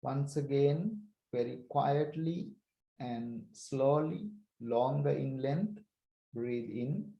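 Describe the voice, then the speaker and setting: A man leading a guided breathing meditation as a teacher, speaking calmly and deliberately in short phrases with pauses. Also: mid-range voice, vocal fry, highs cut off. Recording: roomy echo.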